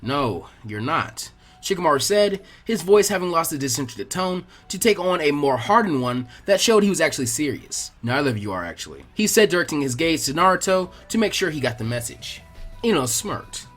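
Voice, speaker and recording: A man's voice: a little high, lively intonation, brisk, a bit bright. A man reading scripted fan fiction narration as a storyteller. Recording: music behind the voice.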